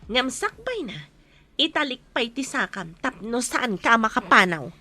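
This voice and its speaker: An actress playing a cruel, bossy captor with an Ilocano Filipino accent in a radio drama, sharp and commanding. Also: female voice, on the high side, very animated, unhurried, soft background music, heavily acted. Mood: menacing, scornful. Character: cruel bossy woman guarding a bound captive maid.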